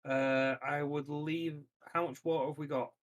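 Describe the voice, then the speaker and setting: A man's voice: a little high, little pitch movement, normal speed. A man casually discussing plans as a player in a tabletop roleplaying game.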